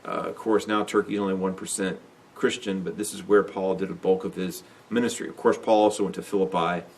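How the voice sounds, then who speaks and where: A man's voice: low-pitched, steady tone, brisk, recorded from a distance, slight background noise. A man teaching a Bible lesson in an unscripted lecture, rambling with lots of fillers and restarts.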